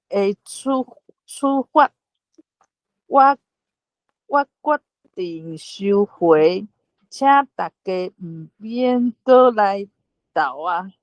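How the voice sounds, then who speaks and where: Woman reading deliberate Jade Emperor, very slow. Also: mid-range voice, no room sound. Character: magnanimous Jade Emperor, ruler of the gods. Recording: dry, dull lo-fi call audio.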